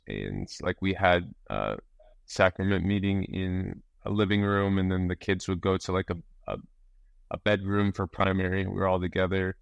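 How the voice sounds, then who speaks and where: A young man, a guest, telling his life story casually, with many pauses and fillers. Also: sits low, creaky, clear, resonant tone, no room sound. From grew up abroad in Russia, China and Uzbekistan. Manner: relaxed, hesitant, many fillers. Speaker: guest musician and entrepreneur.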